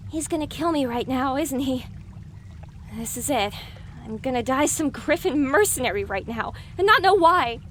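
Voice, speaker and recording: A woman's voice: high-pitched, expressive, moderate pace, fairly bright tone, slight background noise. A woman playing an anxious young female pony in an audio drama, sarcastic and easily panicked.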